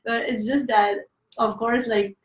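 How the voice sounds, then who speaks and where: A woman's voice: on the high side, fairly even intonation, measured pace, far from the mic. A woman with a French accent, answering interview questions as an author, hesitant with many fillers and laughing asides. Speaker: author guest. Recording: roomy echo, off-mic.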